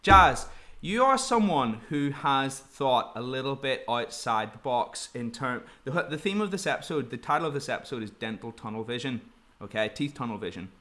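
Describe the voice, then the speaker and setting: A man's voice: medium pitch, lively intonation, moderate pace, sharp tone. A man hosting a podcast interview, friendly and chatty, talking in loose rambling sentences. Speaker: podcast host.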